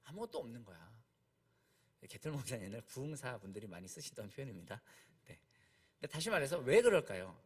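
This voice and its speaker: A man's voice: mid-range voice, big pitch swings, fairly quick, husky. A man preaching a conversational sermon, explaining as he goes, repeating key points and posing rhetorical questions.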